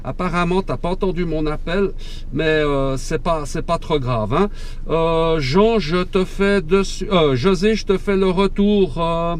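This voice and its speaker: Male radio operator, slightly high pitch, friendly and talkative, casual contact chat, call signs, noisy in-car recording. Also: measured pace. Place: inside a parked car, mobile station. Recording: clear noise, recorded in a vehicle.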